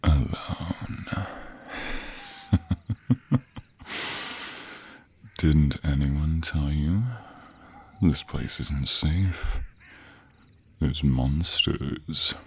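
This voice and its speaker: A man playing a teasing, menacing bandit in an audio roleplay, with slow, hushed, drawn-out taunts. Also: a bass voice, breathy edge, exaggerated voice. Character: menacing, teasing male bandit stalking his prey in dark woods.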